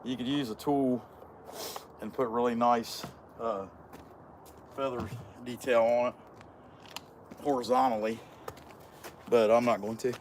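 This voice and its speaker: A hoarse man hosting his carving channel, talking in a folksy, self-deprecating, joking way. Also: medium pitch, steady tone, unhurried, long pauses, slight background noise. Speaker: chainsaw carving channel host. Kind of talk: casual workshop vlog chat.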